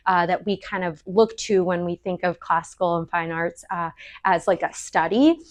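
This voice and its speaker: A young woman giving a relaxed presentation to a small group. She speaks at a middle pitch and keeps things chatty, with frequent fillers and self-corrections as she talks. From El Salvador, raised in Austin, Texas. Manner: chatty, with many fillers and restarts. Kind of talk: casual presentation talk.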